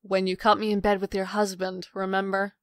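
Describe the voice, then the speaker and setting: A woman's voice: mid-range voice, monotone, brisk, no echo, lightly acted. A woman playing a brash, sarcastic, rebellious sister with a flippant, snide manner in a comic dub.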